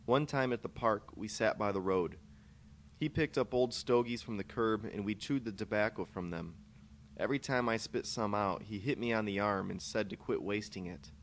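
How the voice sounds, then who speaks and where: A man's voice: fairly low pitch, normal speed. A man reading his own first-person short story aloud as scripted narration. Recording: clear background noise, amateur podcast recording.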